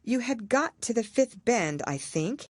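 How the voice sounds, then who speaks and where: A woman's voice: on the high side, big pitch swings, a little slow, no room sound, clean studio sound, pitched above the performer's own voice. A woman voicing a polite, curious young girl in a storybook, earnest and eager to please. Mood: humble, pleading.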